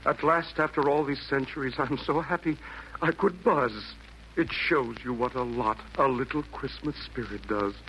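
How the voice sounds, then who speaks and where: An actor in a 1940s radio play voicing a repentant Roman emperor, earnest, hesitant and theatrical, speaking in rhymed verse. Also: male voice, mid-range voice, moderate pace, fairly bright tone, natural voice. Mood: humble wonder. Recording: noisy vintage 1940s radio broadcast.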